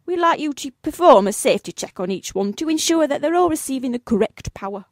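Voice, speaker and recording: A genteel British office boss, played by a woman in a comic radio play, with a fairly high voice and a dry, close sound. She is breezy and unflappable, keeping polite, formal business manners however absurd the request. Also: animated, fast, no room sound. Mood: matter-of-fact.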